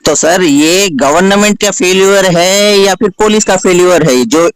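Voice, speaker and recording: A man's voice: high-pitched, unhurried, clean tone, no echo. A man with a Hyderabadi accent asking questions in a deferential, informal way on a recorded phone call. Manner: deferential, frequently addresses the other as sir. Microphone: telephone.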